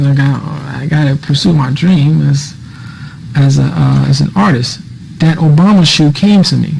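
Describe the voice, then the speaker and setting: A man's voice: medium pitch, gravelly, darkish tone, slight background noise, low-quality recording. A man who works as an artist, casually telling his own story in an informal, conversational way.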